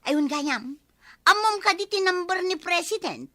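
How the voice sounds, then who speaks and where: A woman's voice: very high-pitched, lively intonation, measured pace, pushed and bright, heavily acted. A woman playing a boastful, proud village party hostess in an Ilocano radio comedy, with an Ilocano accent. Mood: smug.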